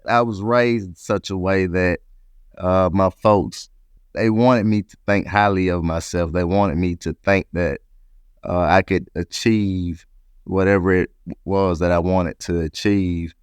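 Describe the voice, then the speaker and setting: A man's voice: low-pitched, flat delivery, a little slow, smooth, dry recording. A man from Atlanta, Georgia, speaking as an interview guest and reflectively telling his own story. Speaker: interview guest, company president.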